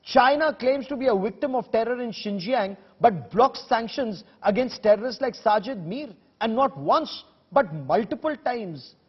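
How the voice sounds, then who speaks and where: A man's voice: extremely high pitch, lively intonation, normal speed, hardly any pauses, slightly rough, long reverb tail, dull audio. A man with an Indian accent hosting a broadcast debate, putting emphatic, combative questions and repeating phrases for effect. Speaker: news debate host.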